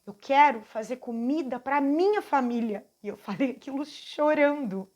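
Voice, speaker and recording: Brazilian lady in her 40s telling her faith story, kinda high voice, heartfelt, stops and restarts a lot. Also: animated, a little mellow. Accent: Brazilian Portuguese. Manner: earnest and heartfelt, halting with restarts. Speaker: channel host giving a testimony. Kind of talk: personal faith testimony.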